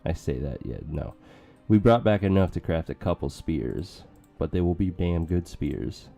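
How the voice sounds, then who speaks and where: A man casually commentating a strategy game with joking asides, his voice going hoarse. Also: sits low, expressive, moderate pace, dark tone, soft background music. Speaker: let's play commentator.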